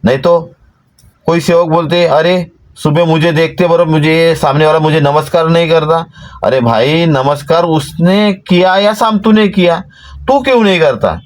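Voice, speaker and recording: A man, giving an earnest, exhorting devotional talk to followers from inside a parked van. His pitch rises when he stresses a point. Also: normal speed. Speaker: devotional channel host.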